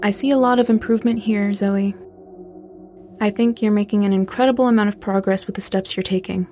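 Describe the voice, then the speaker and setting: A woman playing a supportive grief counselor in an audio drama, speaking in a calm, measured, reassuring manner. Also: mid-range voice, soft background music, lightly acted. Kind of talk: naturalistic audio drama acting.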